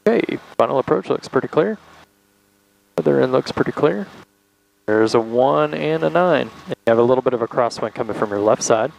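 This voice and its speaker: A man with a mid-pitched, creaky voice coaches a student pilot in a reassuring, instructive way. He gives calm, practical directions inside a small airplane cockpit, with faint engine noise around him. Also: a little fast, poor audio. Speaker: flight instructor.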